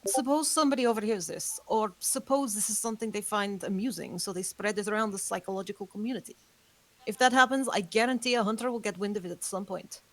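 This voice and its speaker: A woman's voice: mid-range voice, fast, a bit bright, close to an everyday voice, poor audio. A woman reading the part of a young female vampire who earnestly warns her roommate of danger, in a script table read. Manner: earnest, urgent warnings.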